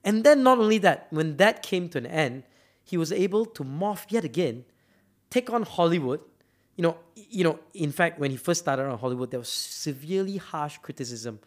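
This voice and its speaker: A man's voice: fairly high pitch, expressive, normal speed. A man from Malaysia giving a motivational talk, emphatic and often repeating words as he builds up to a point. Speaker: speaker.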